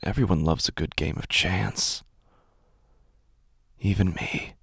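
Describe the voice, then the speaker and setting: A man playing a weary, fatalistic gambler who doubts reality, narrating a horror audio drama in brooding, deliberate, halting phrases. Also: low-pitched, fairly even intonation, gravelly, fairly bright tone, husky, dry recording, clean studio sound, exaggerated voice. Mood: grimly resolved.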